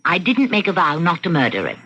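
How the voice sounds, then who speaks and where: An actress playing a defiant, bored housewife with murderous wishes, arguing and justifying herself in an old-time radio mystery style. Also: female voice, lowish voice, huge pitch swings, brisk, a bit bright, slightly rough, soft background music, exaggerated voice. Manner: argumentative, self-justifying. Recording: muffled vintage radio recording with noise.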